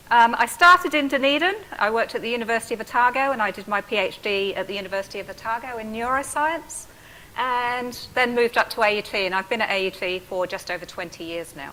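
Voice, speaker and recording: A woman's voice: fairly high pitch, pushed and bright, rough recording. A woman in her fifties with an English accent, giving a chatty, self-deprecating public talk to an audience. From England. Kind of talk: public lecture. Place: event room with an audience.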